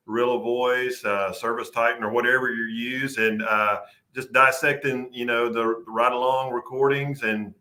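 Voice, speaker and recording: A man's voice: mid-range voice, sharp tone. A man who works as a sales manager, explaining his work casually as an interview guest.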